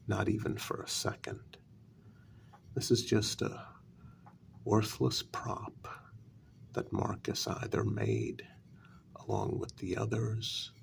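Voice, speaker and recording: A man playing a wounded, bitter vampire in a soft-spoken ASMR role-play, speaking in hushed, deliberate half-whispers with a gravelly voice. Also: lowish voice, heavily acted. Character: wounded, bitter male vampire confronting his queen.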